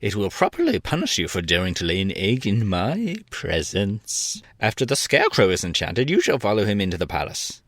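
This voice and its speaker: A jumpy little gnome king blusters and gloats in slow, drawn-out words, his pitch swinging from low grumbles to high yelps, as a man puts him on. The storybook read is dry and close. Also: wildly swooping pitch, no room sound. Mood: grumbling.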